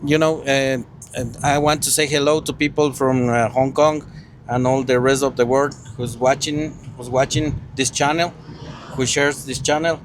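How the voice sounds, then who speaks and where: Local male driver in a car, mid-pitched voice, friendly and hesitant, faint road noise in the background. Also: flat delivery, normal speed. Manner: hesitant, friendly, restarts his sentences. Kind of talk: casual interview answers. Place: inside a car.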